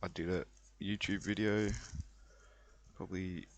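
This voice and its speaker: Low-voiced man in casual commentary. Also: steady tone, measured pace. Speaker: online roulette player explaining a betting strategy. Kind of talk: casual screen-recorded commentary.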